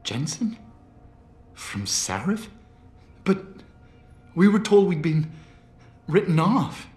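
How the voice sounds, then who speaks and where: A man's voice: on the high side, big pitch swings, takes it slowly, a little mellow. An actor playing an anxious, timid captive male scientist in a video game, speaking in a hesitant, faltering way. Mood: frightened, pleading. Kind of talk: video game cutscene dialogue. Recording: roomy game cutscene with music. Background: background music.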